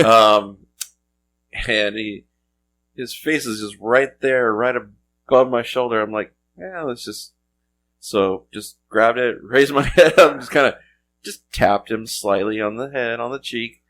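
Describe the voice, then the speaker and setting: A man's voice: medium pitch, unhurried, fairly bright tone. Man telling a funny family story. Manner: laughing anecdote telling. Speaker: guest, a father. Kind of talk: casual chat.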